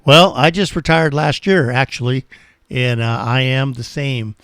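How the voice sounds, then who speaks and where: A man's voice: mid-range voice, measured pace, a bit bright, clear, resonant tone, no echo. American man in his sixties, dry close sound. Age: 60s. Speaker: amateur radio operator. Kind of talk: casual ham radio chat.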